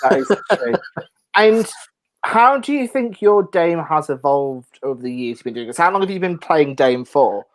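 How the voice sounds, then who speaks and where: A man's voice: a little high, animated, normal speed, slight background noise. A man interviewing a guest casually as the host of a chat show.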